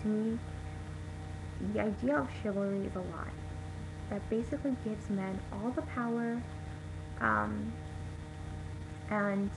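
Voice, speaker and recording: A young woman vlogs her opinions in a chatty, frank way, with plenty of fillers and restarts. Her voice has a steady gravelly fry. The home recording carries a clear hiss beneath her. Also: medium pitch. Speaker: vlogger. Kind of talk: casual opinion monologue to camera.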